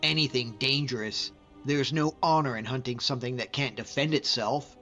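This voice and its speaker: A man's voice: mid-range voice, moderate pace, bright, forceful tone, big-room sound, soft background music. A man voicing a young, earnest, devout Nordic hunter, a loyal companion in a video game, talking in an informal, conversational way. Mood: friendly, earnest. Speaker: video game follower character. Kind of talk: conversational game character voice acting.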